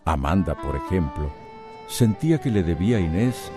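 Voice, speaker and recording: Wry male narrator of a radio soap, with a Latin American accent. His voice is deep and mellow, with expressive rises and falls. He muses deliberately on life over faint music. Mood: musing. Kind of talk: dramatic scripted narration.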